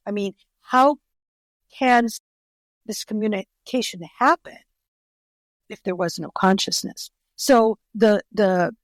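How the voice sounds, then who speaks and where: A woman's voice: mid-range voice, no echo. An older woman whose first language is Greek, telling stories earnestly in an interview as an author guest and often restarting her words. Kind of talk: conversational interview storytelling.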